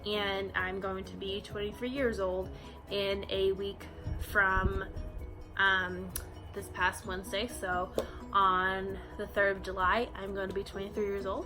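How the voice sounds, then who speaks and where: A woman's voice: medium pitch, flat delivery, a little slow, music in the background. A woman in her early twenties talking casually to the camera in a vlog, chatty, with many ums and "you know"s. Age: 20s. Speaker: vlogger. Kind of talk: casual facts-about-me vlog.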